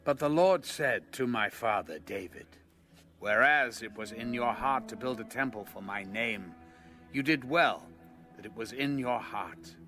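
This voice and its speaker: A man's voice: mid-range voice, measured pace, sharp tone. An actor playing a reverent king in an audio Bible drama, addressing his people in a stately, declamatory way. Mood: solemn, proclaiming. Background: music.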